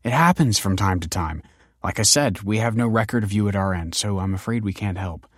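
A man's voice: on the low side, brisk, dry recording, clean studio sound, lightly acted. A man playing a guarded woman director.

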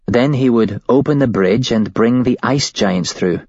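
Shaken young ex-hostage woman, read by a man; husky, raised voice, dry dull recording. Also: medium pitch, measured pace, a little mellow, no echo, voice pushed up. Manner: shaky, tumbling recollection.

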